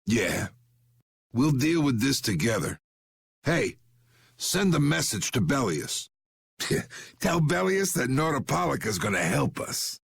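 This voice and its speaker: Gravelly, swaggering old guild boss. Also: male voice, medium pitch, big pitch swings, slow pace, long pauses, fairly bright tone, exaggerated voice. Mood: gruff, amused. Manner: blunt, swaggering, drawling. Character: gruff, commanding old guild boss, quick to anger. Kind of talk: anime video game voice acting. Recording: background music behind the voice.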